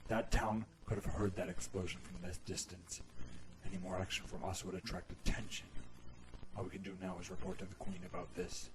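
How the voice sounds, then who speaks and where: Man playing a deep-voiced, menacing cartoon general. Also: a little fast, a little hiss or hum, close to an everyday voice, rough recording. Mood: stern. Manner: calm, smug, authoritative. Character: cold, menacing male general, cartoon creature soldier. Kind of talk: animated fan drama acting. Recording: dramatic music behind the voice.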